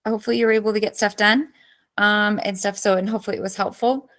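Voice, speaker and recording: A woman teacher in her thirties runs a live online review session, her voice cracking and going hoarse. She chats easily, greets students one by one and answers their messages as they come in. Her pitch sits in a middle range for a woman. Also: steady tone, fast, fairly bright tone. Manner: chatty, greets students by name and reacts to chat.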